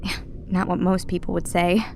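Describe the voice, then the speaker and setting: An actress playing a self-deprecating intelligence analyst in a radio drama, hesitant, awkward and wry. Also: female voice, on the low side, completely flat delivery, moderate pace, warm tone, slight background noise, lightly acted. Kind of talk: naturalistic radio drama acting.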